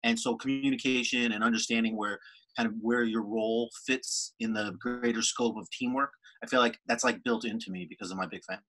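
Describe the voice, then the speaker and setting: A man's voice: mid-range voice, fairly even intonation, brisk, a bit bright, low-quality recording. A middle-aged man telling a personal story on a video call from home, talkative and full of fillers. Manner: talkative, many "kind of" and "like" fillers. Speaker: workshop participant. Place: room at home. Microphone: video call on a laptop.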